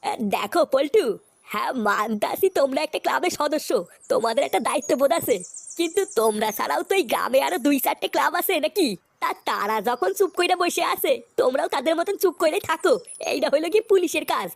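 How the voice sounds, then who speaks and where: Village headman, comic cartoon, male actor: eastern Bengali dialect, dismissive, drawn-out, high shifted pitch. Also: expressive, vocal fry, no room sound, heavily acted. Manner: drawn-out, rhetorical tag questions. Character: evasive village headman, self-excusing and unhelpful.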